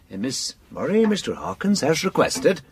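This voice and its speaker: A man's voice: on the high side, very animated, unhurried, very noisy. An actor playing a fussy, officious office clerk in a period audio drama, obsequious to his betters. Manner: bossy to juniors, obsequious to superiors.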